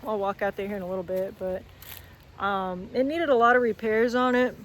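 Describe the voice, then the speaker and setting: A woman's voice: medium pitch, steady tone, a little fast. A young woman with a drawl talks through her farm chores in a plain, chatty way, full of little fillers. Her voice is slightly worn from a morning of talking. She records outdoors on a handheld phone, with a faint wash of outdoor noise behind her. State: voice strained from talking. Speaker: farm vlogger. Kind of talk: casual farm diary vlog. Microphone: phone held while walking.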